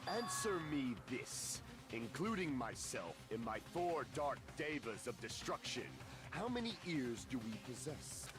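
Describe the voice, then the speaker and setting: A man voicing a pompous, theatrical young self-styled dark lord in an anime video game. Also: mid-range voice, very animated, measured pace. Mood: boastful. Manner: pompous, archaic, dramatic declarations. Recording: game music playing behind the voice.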